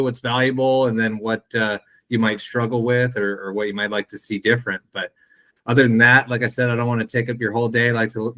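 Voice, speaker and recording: Low-voiced man hosting a video webinar. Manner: relaxed, filler-heavy. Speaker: webinar host. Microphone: video call.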